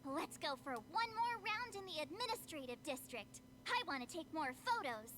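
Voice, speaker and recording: A woman voicing a perky, playful, excitable teenage girl adventurer in an anime-style video game, with bubbly, chatty delivery. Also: high voice, normal speed, slight background noise. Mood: upbeat.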